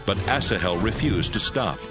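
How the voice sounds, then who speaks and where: A man narrating a Bible audio drama from a script in a measured storytelling manner. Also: fairly low pitch, animated. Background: music.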